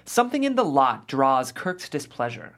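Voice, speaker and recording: Lively narrator reading stage directions. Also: medium pitch, normal speed. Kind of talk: scripted comedy narration.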